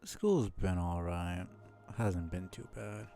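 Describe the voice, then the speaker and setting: A young man giving casual, low-key livestream commentary on a game, rambling without hurry over game music. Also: slow, gravelly, dark tone. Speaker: game streamer.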